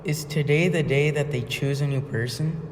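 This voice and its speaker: A man's voice: fairly high pitch, normal speed, close to an everyday voice. Desperate young male prisoner, played by an amateur actor, radio drama, reverberant room with some noise. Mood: anxious. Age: young adult. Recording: noisy hall with long reverb.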